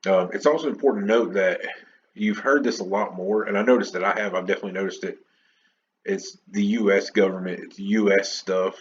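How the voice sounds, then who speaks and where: Deep-voiced rambling male podcast host. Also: fairly even intonation, fairly quick, dull audio, slight background noise. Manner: rambling, opinionated, many restarts and fillers. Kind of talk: unscripted solo podcast chat.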